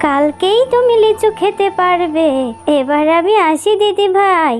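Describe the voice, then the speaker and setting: A gentle old beggar fox granny from a cartoon , acted by a woman, with a very high voice. She is weary and plaintive, coaxing kindly over faint music. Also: unhurried. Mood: kindly, reassuring. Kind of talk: Bengali children's cartoon voice acting.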